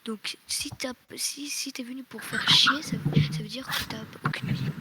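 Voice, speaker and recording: A woman's voice: sits high, fairly even intonation, measured pace, in a whisper. A woman in a casual gaming voice chat, speaking bluntly and repeating her phrases.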